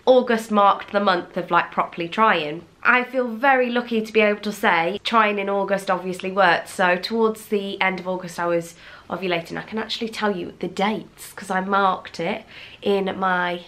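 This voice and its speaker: A woman's voice: medium pitch, steady tone, moderate pace, a bit bright. A young woman vlogger from England chatting candidly to camera, with a blocked, fluey nose and lots of fillers and restarts. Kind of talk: casual chat to camera.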